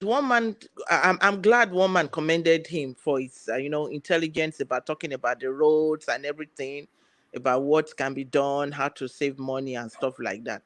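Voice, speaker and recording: A woman's voice: deep voice, normal speed, no echo. A woman arguing on a live panel, hesitant with many fillers and restarts.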